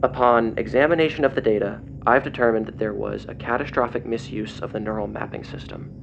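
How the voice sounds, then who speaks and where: A man's voice: lowish voice, fairly even intonation, a little fast. Lo-fi noisy call, actor as intense male investigator. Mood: grave reading. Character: agitated male investigator relaying grim secret documents by phone. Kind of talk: audio drama acting, partly reading documents aloud. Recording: dull lo-fi phone call, clear noise.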